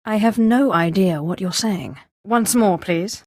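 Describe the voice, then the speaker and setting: An actress voicing a haughty, sardonic female Sith sorceress villain for a video game, with deliberate, theatrical delivery. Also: mid-range voice, a little mellow, dry recording, clean studio sound, close to an everyday voice. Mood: businesslike, commanding. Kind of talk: scripted video game character lines.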